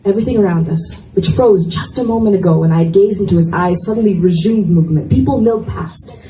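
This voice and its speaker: A woman reading romance fiction aloud as expressive first-person narration, with dramatic pauses, at a live event. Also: medium pitch. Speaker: reader of a romance novel. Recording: echoey room, distant mic, noisy ambience.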